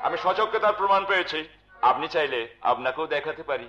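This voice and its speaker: A man's voice: a little high, expressive, quick pace, sharp tone. A man playing a scheming, slanderous villain in melodramatic folk theatre, speaking in an insinuating, emphatic way. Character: scheming, slanderous male villain, false family friend. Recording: echoey, dull stage-drama recording. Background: faint music.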